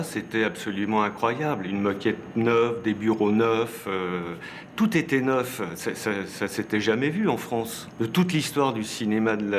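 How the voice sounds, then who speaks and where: Low-voiced man reminiscing. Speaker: former animation studio staffer. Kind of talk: reminiscing in interview. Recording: faint background noise.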